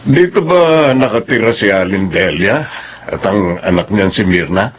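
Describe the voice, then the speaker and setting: A man's voice: deep voice, animated, normal speed, slightly rough. An actor playing a domineering, suspicious, hot-tempered middle-aged father in a Filipino radio drama. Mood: suspicious, probing.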